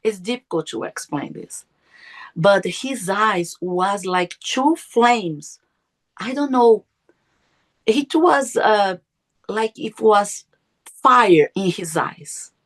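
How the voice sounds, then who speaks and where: A middle-aged woman whose first language is Portuguese, telling a personal story as a podcast guest, earnest and often searching for her words. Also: mid-range voice. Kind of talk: personal testimony.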